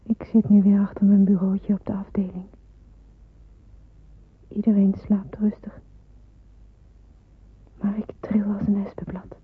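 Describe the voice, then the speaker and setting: Husky, breathy anguished wartime nurse. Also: female voice, medium pitch, flat delivery, a little slow, pauses often. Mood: anguished, brooding. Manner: hesitant, brooding, self-questioning. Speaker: actress in a radio play. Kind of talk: Dutch radio play acting, inner monologue. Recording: muffled tinny old radio recording.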